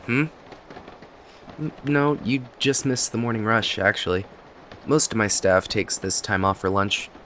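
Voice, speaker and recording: A kindly, talkative cafe owner acted by a man with a fairly low voice, in an intimate audio roleplay. He chats in a friendly, rambling way, often hesitant and quick to apologise. Steady rain noise sits behind his voice. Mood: chatty, upbeat. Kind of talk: ASMR roleplay, conversational acting to the listener. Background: rain and cafe ambience.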